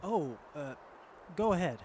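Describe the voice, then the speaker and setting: Sullen, slow young man acted, noisy background. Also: a little high, big pitch swings, darkish tone. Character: sullen, withdrawn young man in wasteland crew. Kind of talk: audio drama acting.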